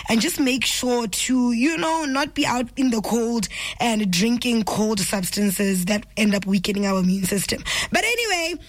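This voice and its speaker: A woman radio host, hoarse and raspy from flu, chatting in a bubbly, motivational way. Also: fairly high pitch. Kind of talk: casual radio chat.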